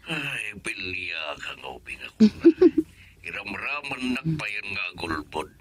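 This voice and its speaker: A man's voice: lowish voice, big pitch swings, measured pace, a crowd in the background. A man with an Ilocano accent playing a fond, teasing older father in a radio drama. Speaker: father character.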